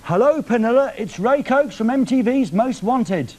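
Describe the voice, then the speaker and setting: A man's voice: extremely high pitch, lively intonation, moderate pace, a little mellow. A man hosting a live TV show, teasing a caller on the phone with cheeky questions. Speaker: TV presenter. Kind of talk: live TV prank phone call. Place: TV studio.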